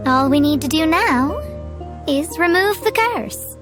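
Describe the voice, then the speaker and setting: Young female game astrologer, high voice, proud, haughty, articulate, grandiose delivery. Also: animated, a little slow, over music. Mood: exasperated, prim. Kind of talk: video game voice acting.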